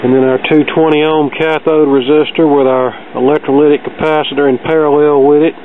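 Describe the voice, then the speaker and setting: A man's voice: on the high side, level tone, brisk. Man, phone-like narrow audio, some background noise, casual repair narration, matter-of-fact, methodical. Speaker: host, vintage record player repair hobbyist.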